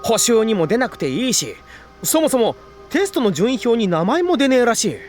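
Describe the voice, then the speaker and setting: A cheeky schoolboy from a visual novel, very high for a male voice and quick-tongued. His talk is rough, slangy and skeptical, with faint music underneath. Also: very animated. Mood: skeptical, gossipy.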